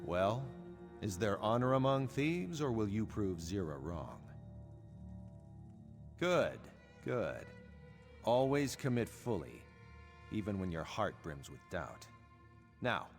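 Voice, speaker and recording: Sly thief in a reverberant hall. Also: male voice, on the low side, full character voice. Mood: smooth, knowing. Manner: measured, teasing, theatrical. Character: sly, smooth-talking male thief held captive in a cell. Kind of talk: scripted video game dialogue. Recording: long reverb, music underneath.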